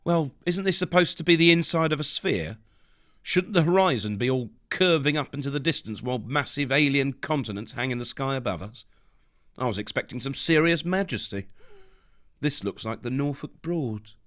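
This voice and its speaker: A man's voice: mid-range voice, expressive, moderate pace, a bit bright, close to an everyday voice. A man playing an underwhelmed, grumbling male astronaut. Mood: disappointed.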